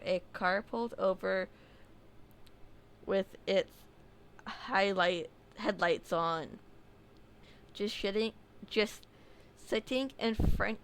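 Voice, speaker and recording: A woman narrating a submitted true horror story, reading slowly with small slips and casual asides. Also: medium pitch, a little hiss or hum.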